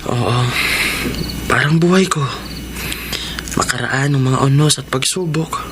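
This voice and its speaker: A man's voice: medium pitch, unhurried, noisy background, natural voice. An actor playing an earnest, forgiving young family man in a Filipino radio drama, speaking with a Filipino accent and sentimental delivery. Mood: contented, tender. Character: forgiving, grateful grown stepson and loving husband. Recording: music loud behind the voice. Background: loud music.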